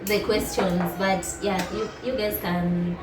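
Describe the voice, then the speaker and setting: A young woman whose first language is Swahili chats casually as a co-host, warm and quick to laugh, often repeating words as she looks for them. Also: mid-range voice, flat delivery, over music. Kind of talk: casual chat. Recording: roomy, distant mic, noisy.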